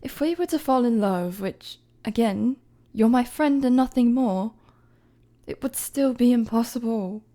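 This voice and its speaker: A woman playing a weary, guarded young queen in a dramatic audio roleplay, with a soft, breathy voice and measured, formal, hesitant phrasing. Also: a little high, lightly acted. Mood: awkward, pitying. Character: weary young queen, regal, guarded, firm with her friend.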